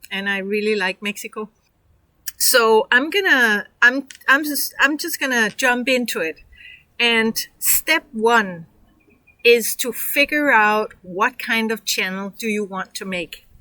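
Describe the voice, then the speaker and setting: A woman's voice: on the high side, pushed and bright, no echo, poor audio. A woman from Denmark with a Danish accent, walking viewers through a tutorial in a chatty, encouraging way with lots of fillers. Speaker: online course instructor. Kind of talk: casual screen-share tutorial.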